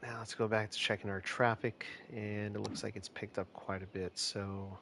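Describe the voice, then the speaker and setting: A man's voice: on the low side, moderate pace, creaky, slight background noise. A man streaming a city-building game, chatting casually with lots of fillers and restarts, his voice a bit nasal and stuffed-up. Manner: relaxed, rambling, many fillers and restarts. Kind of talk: casual live-stream commentary.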